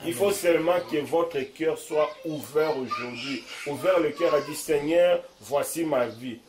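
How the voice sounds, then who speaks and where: Male lay preacher, emphatic, loud-to-soft swings, echoey room. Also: on the high side, measured pace. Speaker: visiting preacher. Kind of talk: informal preaching. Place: room in a private home. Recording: roomy echo.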